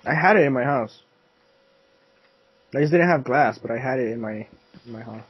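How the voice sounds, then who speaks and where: A man's voice: medium pitch, normal speed, slightly rough, dry recording, lo-fi sound. A young man chatting while playing a block-building game, teasing and laughing with his friend. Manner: playful, teasing, laughs often. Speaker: gamer. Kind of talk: casual gaming chat.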